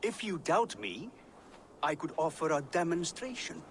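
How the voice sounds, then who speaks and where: A man's voice: a little high, very animated, unhurried, slight background noise. A man playing a courteous, cunning wandering master builder in a video game, speaking formally and eloquently with a deferential air. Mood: deferential, persuasive. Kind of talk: video game cutscene acting.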